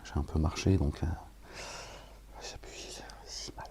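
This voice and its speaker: A middle-aged man from France giving a rambling, aggrieved talk, then reading scripture aloud, often dropping to a low murmur. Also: unhurried, dark tone, whispered. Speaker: religious preacher.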